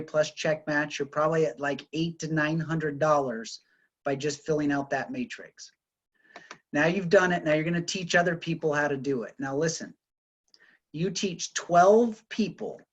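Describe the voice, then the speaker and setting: A man enthusiastically explaining a two-legged pay plan on a group call, laying out the numbers point by point. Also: on the high side. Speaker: business partner explaining a compensation plan.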